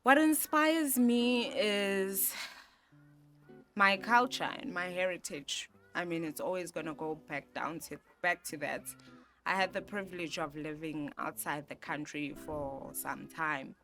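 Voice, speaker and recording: A South African woman in her twenties, talking in a soft, reflective way with many fillers and restarts during an interview. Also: lowish voice, unhurried. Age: 20s. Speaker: interviewee, graphic designer. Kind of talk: relaxed personal interview. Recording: music bed playing behind voice.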